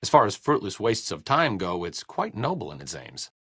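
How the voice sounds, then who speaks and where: A man's voice: lowish voice, wildly swooping pitch, normal speed, a bit bright, slightly rough, no echo, heavily acted. A man playing a wry, bookish, self-deprecating doctor and researcher in a video game, with dry, erudite understatement. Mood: dryly musing. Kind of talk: video game character dialogue.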